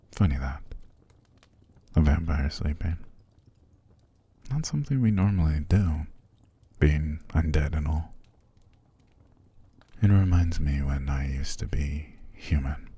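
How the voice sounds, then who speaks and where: A man playing a possessive, seductive vampire master in an ASMR roleplay, calm and commanding, slow and formal, often sinking to a gravelly whisper. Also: very deep voice, exaggerated voice. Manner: slow, formal, soothing but controlling.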